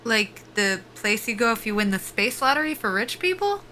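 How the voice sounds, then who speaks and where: Bright, guarded young female soldier. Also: on the high side, big pitch swings, moderate pace, slight background noise. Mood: wary, puzzled. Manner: clipped, deferential military politeness with dry humor. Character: guarded, formal young female soldier-pilot interviewing for a job. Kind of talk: naturalistic audio drama acting.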